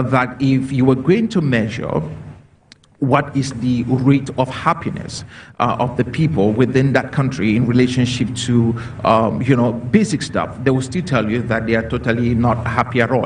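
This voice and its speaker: A man from Cameroon speaking as a panelist in a discussion, earnest and repetitive, with many fillers. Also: medium pitch. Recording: distant mic with faint noise.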